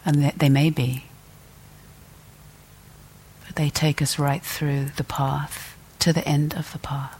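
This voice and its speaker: A woman teacher leading a guided meditation in a gentle, deliberate way, leaving long pauses between phrases. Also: low-pitched, little pitch movement, slight background noise.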